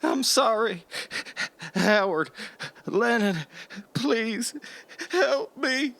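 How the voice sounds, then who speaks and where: A man's voice: extremely high pitch, expressive, extremely slow, creaky, fairly bright tone, heavily acted. A man playing a cowardly, pleading captive knight in an audio drama, whimpering and drawing out his words. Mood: scared pleading. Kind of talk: post-apocalyptic audio drama acting.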